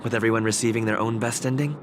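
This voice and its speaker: Low-voiced man as a composed storyteller, noisy background. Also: fairly even intonation, very fast, lightly acted. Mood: measured, dramatic. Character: composed male storyteller recapping an epic tale. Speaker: narrator. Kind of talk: scripted narration, story recap.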